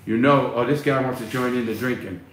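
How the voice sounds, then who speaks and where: A man's voice: mid-range voice, brisk, fairly bright tone. Blunt middle-aged man in echoey room. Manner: loose, blunt, swears casually. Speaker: livestream host reading chat. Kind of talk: casual livestream chat. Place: small room at home, indoors. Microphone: phone at arm's length. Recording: echoey room, faint noise.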